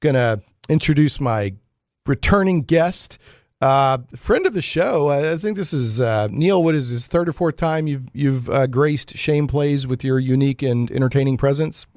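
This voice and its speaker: Male host, casual chat, jokey, digressive, dry studio-close sound, medium pitch. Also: normal speed, a little mellow, no echo. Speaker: radio show host. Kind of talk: casual, rambling interview chat.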